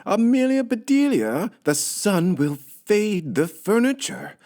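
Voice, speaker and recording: A man voicing an easily shocked, exasperated lady of the house in a children's storybook, with shrill, drawn-out exclamations. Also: very animated, a little mellow, full character voice.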